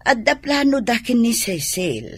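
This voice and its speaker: A woman playing a proud, contented wife and mother with a raspy voice and an Ilocano Filipino accent in a radio drama. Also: a little high, sing-song, measured pace, exaggerated voice.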